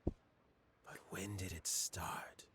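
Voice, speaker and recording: An actor voicing a weary, courteous elderly government official in a video game, speaking in a measured, formal and wistful way. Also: male voice, sharp tone, in a whisper. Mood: weary, regretful.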